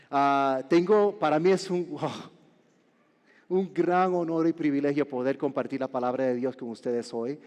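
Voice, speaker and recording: A Puerto Rican man in his forties, a pastor preaching a sermon with joking asides and halting restarts. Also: fairly high pitch, a little mellow. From Puerto Rico. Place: large church hall. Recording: reverberant church hall.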